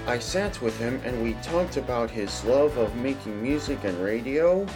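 A man's voice: mid-range voice, lively intonation, normal speed, music in the background. A man hosting a podcast, introducing his guest and politely reading out prepared interview questions.

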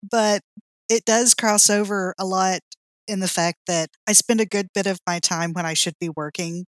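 A woman's voice: mid-range voice, fairly even intonation, normal speed, dry recording. A woman guest on a casual podcast, talking with dry, self-deprecating humor. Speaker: guest, site leader.

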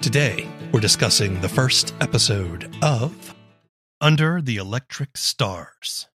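A man's voice: mid-range voice, expressive, slow pace, over music. A man reading scripted narration as the host of an interview podcast.

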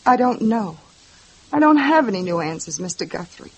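A shaken young assault victim. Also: female voice, mid-range voice, big pitch swings, normal speed, a little mellow, husky, poor audio. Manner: tired, defensive and shaken. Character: frightened bruised young woman witness. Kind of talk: 1950s radio drama acting.

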